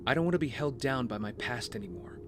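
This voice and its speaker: A man acting a brooding, guilt-ridden young vigilante hero in an audio drama, speaking in an earnest, measured, confessional way. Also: medium pitch, animated, noisy background, natural voice. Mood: contrite. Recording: music bed under the voice.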